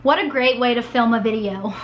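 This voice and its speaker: A young woman with a cold, hoarse and a bit nasal, chatting in a self-mocking reaction commentary as a YouTuber. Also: a little high, fairly quick, slight background noise.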